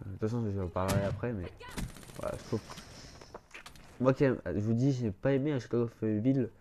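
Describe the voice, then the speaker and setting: A man's voice: lowish voice, fairly quick, background noise. A man with a blocked, stuffy nose giving casual gameplay commentary, rambling and hesitant, full of fillers and restarts. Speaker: gaming YouTuber.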